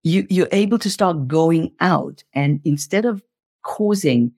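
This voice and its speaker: A woman's voice: on the low side, animated, measured pace, warm tone, no echo, studio quality. A woman speaking as an interview guest, explaining in an impassioned motivational style and repeating phrases for emphasis.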